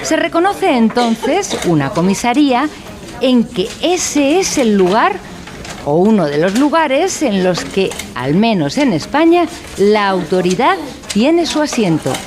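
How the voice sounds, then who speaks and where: Lively, deadpan instructor. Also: female voice, a little high, measured pace, crowd noise. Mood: deadpan, pedantic. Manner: didactic, deadpan, formal. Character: earnest female instructor of an absurd mock educational course. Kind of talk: scripted satirical radio drama narration.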